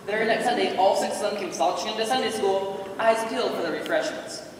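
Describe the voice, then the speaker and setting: A woman's voice: medium pitch, fast, close to an everyday voice. A woman narrating a children's Christmas skit as a storyteller in a readers theater show. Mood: storytelling. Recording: echoey hall, far from mic, faint noise.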